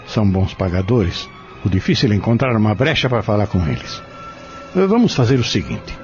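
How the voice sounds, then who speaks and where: A man acts as a guarded Brazilian peddler in an audio drama, keeper of a dead friend's secret. His voice sits low and he speaks formally and carefully. Faint music runs under a slightly dull recording. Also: big pitch swings. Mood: courteous, cautious. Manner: measured, secretive, formal storyteller.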